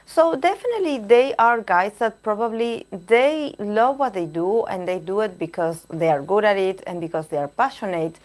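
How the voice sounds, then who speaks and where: A woman reading tarot cards on a live stream, chatting with viewers and pausing to search for words, her voice going hoarse. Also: medium pitch, animated, darkish tone. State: losing her voice. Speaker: tarot reader.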